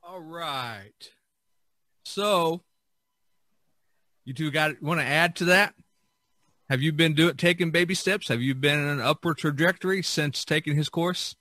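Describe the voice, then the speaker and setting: A man's voice: fairly high pitch, a little slow, long pauses, sharp tone, no room sound. A man hosting a podcast in a casual, conversational way. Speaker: podcast host. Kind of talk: casual chat.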